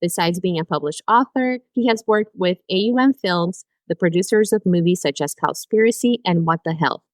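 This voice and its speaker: A woman's voice: fairly low pitch, normal speed, hardly any pauses, dry recording. A woman from Brazil with a Brazilian Portuguese accent, hosting a podcast interview in a friendly, chatty way, laughing often. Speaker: podcast host.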